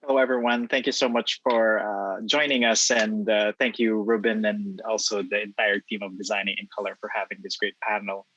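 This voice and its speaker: A man's voice: fairly low pitch, flat delivery, moderate pace, hardly any pauses, fairly bright tone, slight background noise. A man with a Filipino accent, answering as a panelist on a video call, thoughtful and full of hesitations. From Philippines. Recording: dull, dry video-call audio.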